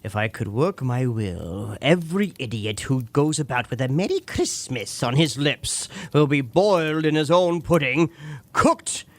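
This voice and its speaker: An actor playing a grumpy, miserly old moneylender in a radio drama, scornful, blustering and sarcastic. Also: male voice, mid-range voice, animated, a little slow, no room sound.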